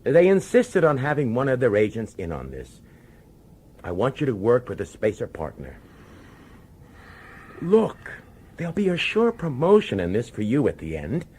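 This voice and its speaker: Harried, husky police commissioner, highly animated. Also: male voice, normal speed, long pauses. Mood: pleading, insistent. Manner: urgent, hesitant, pleading authority. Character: harried, nervous male police commissioner, anxious and insistent. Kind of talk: radio drama acting.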